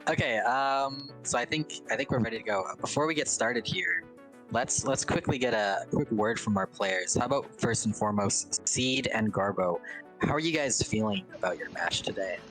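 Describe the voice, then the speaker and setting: A man casting a game tournament, chatty and quick to laugh. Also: lowish voice, a bit bright, faint music behind, low-quality recording. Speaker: caster. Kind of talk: casual stream chat.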